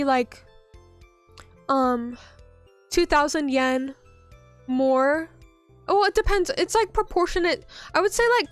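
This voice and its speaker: A woman with a high, light voice chats with her stream audience, drifting through her thoughts with plenty of "like" and "um." Quiet music plays faintly behind her. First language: English. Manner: rambling, many fillers like "like" and "um". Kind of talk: casual chat reading viewer messages.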